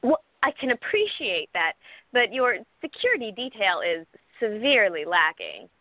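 A woman's voice: medium pitch, huge pitch swings, normal speed, no echo. A woman playing a protective, skeptical bodyguard, a proper military major, in a radio play. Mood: worried. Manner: skeptical, dryly deferential. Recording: thin, dry phone-like sound.

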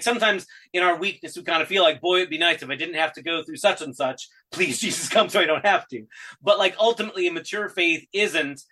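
Bright-voiced man musing at length. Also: a little high. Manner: thoughtful, rambling with everyday examples. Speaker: co-host. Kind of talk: casual theological discussion.

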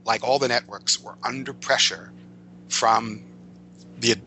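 An older American man speaking as an interview guest, reminiscing in a discursive, halting way and often correcting himself. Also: mid-range voice, steady tone, pushed and bright, slight background noise, low-quality recording. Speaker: podcast guest, veteran writer.

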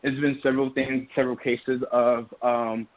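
A man from Trinidad speaking as a guest on an interview show, feeling his way through each answer with fillers and restarts. His voice sits at an everyday middle pitch. The sound is thin and tinny, like a phone line. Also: level tone. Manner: halting, many restarts and fillers. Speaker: guest, PSA creative. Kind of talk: casual interview talk.

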